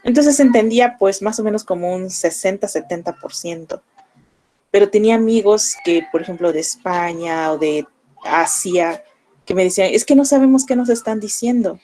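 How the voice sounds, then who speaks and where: A young Mexican woman with a Mexican Spanish accent, explaining to her students in a relaxed classroom manner full of fillers. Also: mid-range voice, normal speed. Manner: conversational, explanatory, with frequent fillers. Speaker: language teacher. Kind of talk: online class lecture.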